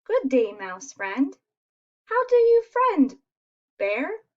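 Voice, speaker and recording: Helpful, chirpy picture-book hare from a woman reader, high, animated greetings, inviting tone. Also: slow pace, highs cut off, exaggerated voice. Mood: cheerful. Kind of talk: children's picture book read-aloud.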